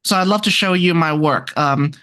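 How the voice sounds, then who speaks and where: Scholarly speaker, medium pitch, informal discussion, hesitant, searching for words. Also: normal speed, sharp tone. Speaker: textbook author and music researcher.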